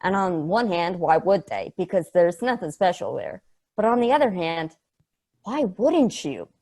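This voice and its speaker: A woman's voice: fairly low pitch, very animated, unhurried, darkish tone, full character voice. Woman acting a sardonic, rambling, sweary female probe. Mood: wry, ranting. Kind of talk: conversational acting in a script table read.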